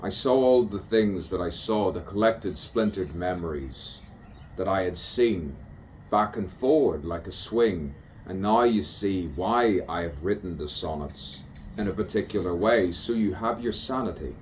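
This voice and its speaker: A man's voice: sits low, lively intonation, measured pace, darkish tone, slight background noise, poor audio. A man delivering a stream-of-consciousness spoken poem, musing and repeating rhyming phrases. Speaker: poet.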